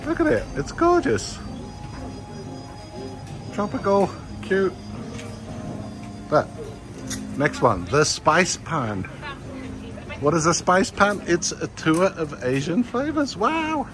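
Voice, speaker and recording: A man's voice: high voice, huge pitch swings, slow, long pauses, over music. A man narrating a walking tour of a food court, exclaiming with enthusiasm over the dishes. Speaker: vlogger touring a food court. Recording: noisy outdoor street recording.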